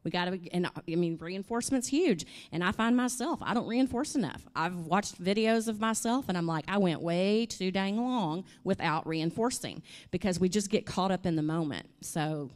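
A woman, speaking through a handheld mic in a hotel conference room. She talks in an animated, chatty way, full of "you know" and "I mean". Her voice sits a little low. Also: normal speed, few pauses. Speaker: conference presenter. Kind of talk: workshop talk with audience questions. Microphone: handheld microphone.

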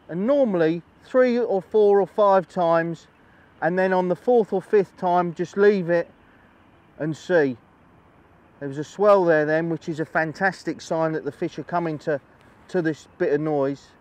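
Higher-pitched man, chatty instructor. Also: unhurried, darkish tone. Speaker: angling presenter. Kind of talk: instructional fishing demonstration, chatty. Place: outdoors on a lakeside bank.

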